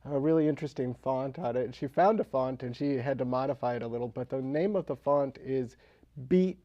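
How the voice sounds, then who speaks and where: A man speaking as an interview guest and author, telling anecdotes with dry, deadpan humour. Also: medium pitch, fairly quick, lo-fi sound. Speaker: interview guest, local novelist.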